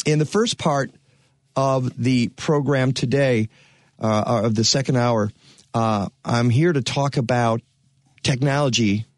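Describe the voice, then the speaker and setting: A man's voice: medium pitch, dry recording, studio quality. A man hosting a radio talk show, talking casually with many fillers and restarts.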